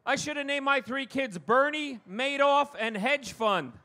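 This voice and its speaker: Male stand-up, middle-aged, New York accent, very high, forceful delivery, self-deprecating. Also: a little slow. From Long Island, New York. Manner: self-deprecating, punchy one-liners. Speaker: stand-up comedian. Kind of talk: stand-up comedy routine. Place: live comedy show before an audience.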